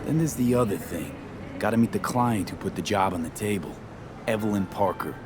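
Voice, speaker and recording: A man's voice: fairly low pitch, a little fast, background noise, natural voice. An actor playing a direct, cool-headed young male mercenary in a video game, speaking in terse, matter-of-fact lines. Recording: game audio over loud music.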